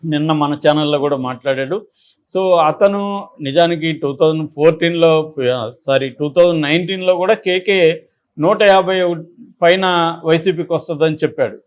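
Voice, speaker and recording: Higher-voiced man analysing politics. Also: moderate pace. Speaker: political analyst on a news discussion channel.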